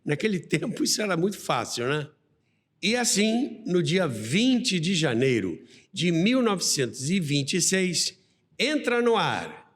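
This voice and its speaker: Lively Brazilian man in a reverberant space. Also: high-pitched, unhurried. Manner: didactic, expressive storyteller. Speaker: podcast host. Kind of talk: conversational storytelling about radio history. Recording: long reverberant hall-like echo.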